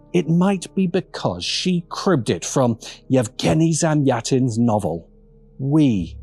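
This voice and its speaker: Male narrator, scripted essay, wry and dramatic, very lively intonation, unhurried, music behind. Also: mid-range voice. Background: background music.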